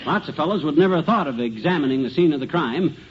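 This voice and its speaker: An actor with an American accent playing a cocky, dim-witted amateur detective in a folksy, word-mangling 1950s radio comedy style. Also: male voice, a little high, animated, fast talker, close to an everyday voice. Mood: self-important. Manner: folksy, bumbling, self-important, mangles words. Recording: dull, noisy old radio recording.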